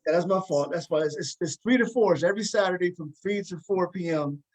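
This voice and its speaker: A man's voice: high-pitched, fast talker, darkish tone. A man hosting a casual interview over a video call, often hesitating and repeating his words as he goes. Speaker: talk show host.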